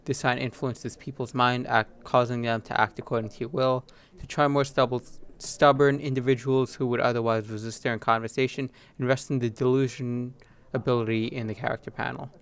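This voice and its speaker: A man's voice: mid-range voice, fairly even intonation, brisk, few pauses, clear, resonant tone. A man hosting a gaming video with casual let's play commentary.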